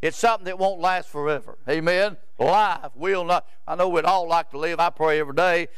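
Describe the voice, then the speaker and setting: A man's voice: on the high side, fairly even intonation, a little fast, a bit bright, smooth, no room sound, clean studio sound. A man, preaching a rambling, folksy sermon in a church sanctuary and often stopping to ask "Amen?". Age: 70s. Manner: rambling, repeats words, asks "Amen?" of the crowd.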